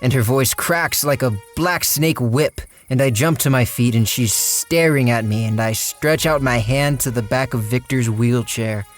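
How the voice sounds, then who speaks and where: A man acting a guilt-ridden, fatalistic plotter who tells his story in a radio drama, somber and deliberate. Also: mid-range voice, faint music behind, natural voice. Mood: foreboding. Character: Clyde, guilt-ridden, fatalistic man narrating a murder plot. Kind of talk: dramatic first-person radio drama narration.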